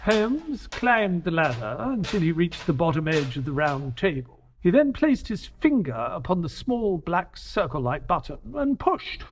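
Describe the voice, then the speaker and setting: An actor playing an earnest detective's companion, giving dramatic first-person narration of an adventure. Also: male voice, a little high, big pitch swings, a little slow, hardly any pauses. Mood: intrigued.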